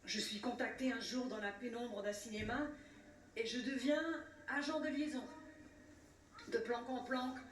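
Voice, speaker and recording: A woman delivering a solemn scripted commemorative speech in a measured, declamatory way, with rhetorical repetition and pauses. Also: a little high, steady tone. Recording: roomy, distant mic with faint noise.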